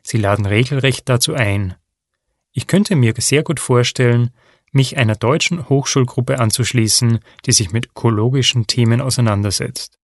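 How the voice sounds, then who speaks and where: Man, calm reading, dry close sound. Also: medium pitch, normal speed, no echo. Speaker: reader of a listening exercise. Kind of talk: scripted reading of a textbook listening text.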